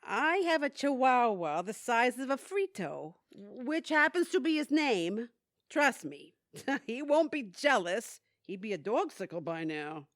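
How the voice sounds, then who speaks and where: An actress playing a wry, grumbling older woman, sardonic and deadpan, in an audio comedy. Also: fairly high pitch, a little slow, no echo, clean studio sound. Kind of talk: audio theatre comedy acting.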